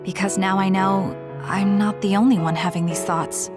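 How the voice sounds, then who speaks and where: A woman's voice: mid-range voice, steady tone, normal speed, natural voice. Game heroine, female actor: pensive, reserved young fighter, matter-of-fact, middle register, over a music score. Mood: pensive, guarded. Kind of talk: English video game voice acting, dramatic dialogue.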